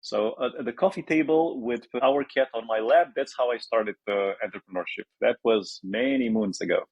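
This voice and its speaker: A man's voice: medium pitch, normal speed, a little mellow, slightly rough, dry recording, highs cut off. A man in his forties from Romania with a Romanian accent, answering interview questions hesitantly with many fillers and restarts. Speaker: guest entrepreneur.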